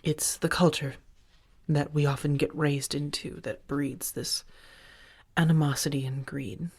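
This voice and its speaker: A woman playing a protective, affectionate female vampire roommate in a soft-spoken ASMR roleplay, breathy and often near a whisper, with halting, earnest delivery. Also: deep voice, fairly even intonation, full character voice. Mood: grave, confiding.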